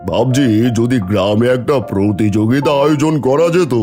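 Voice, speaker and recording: Casting: male actor as bored, eager rural landlord for a cartoon, mid-pitched, commanding, with background music. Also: animated, normal speed, dark tone, close to an everyday voice. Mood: bored, musing. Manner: lordly, addresses his underling familiarly. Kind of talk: Bengali cartoon story acting.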